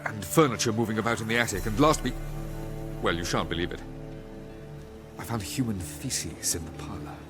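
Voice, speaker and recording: Man playing a pompous low-voiced banker. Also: normal speed, music in the background, close to an everyday voice. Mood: fussy, uneasy. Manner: pompous, mannered, self-important. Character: pompous, fussy, snobbish rich male banker. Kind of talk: video game voice acting.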